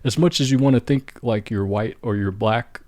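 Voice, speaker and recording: A man's voice: mid-range voice, normal speed, darkish tone, dry recording. A young man from St. Louis hosting a podcast, chatting in a laid-back, rambling way with lots of "like". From St. Louis, Midwest US. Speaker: podcast host. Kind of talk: casual conversation.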